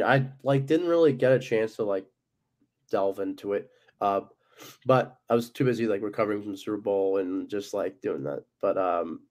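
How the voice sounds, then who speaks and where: A man's voice: lowish voice, darkish tone. A young man with a stuffy, cold-clogged voice, hosting a casual sports podcast and rambling with lots of ums and likes. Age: young adult. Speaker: podcast host.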